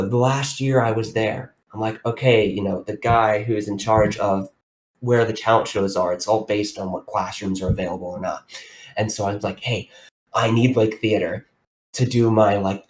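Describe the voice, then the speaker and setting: A young man co-hosting a casual podcast, telling stories in a rambling, animated way with many restarts. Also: fairly low pitch, slight background noise, poor audio. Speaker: podcast co-host. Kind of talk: casual chat, storytelling.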